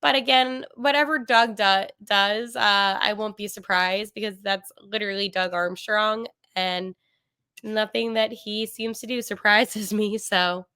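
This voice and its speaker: Young woman, podcast host, casual sports talk, chatty, self-correcting, bright clear voice, dry close webcam sound. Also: mid-range voice, unhurried, dry recording. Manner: chatty, animated, many fillers and self-corrections.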